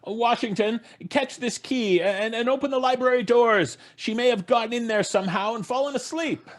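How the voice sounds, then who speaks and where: A man's voice: very high-pitched, moderate pace. A middle-aged man playing a flustered, bossy American father, stammering and blustery, in old-time radio drama style. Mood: commanding. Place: small home studio. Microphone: close studio microphone.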